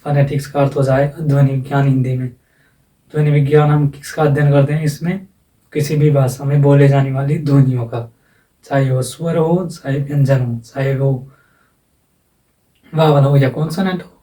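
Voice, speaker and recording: A man gives a casual, plain lesson as a voice-over, asking questions and then answering them himself. His voice is mellow and warm. He sits a little back from the mic. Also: medium pitch, recorded from a distance, poor audio. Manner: plain, repetitive explaining with rhetorical questions. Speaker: English teacher. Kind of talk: casual explanatory lecture. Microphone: voice-over, face not shown.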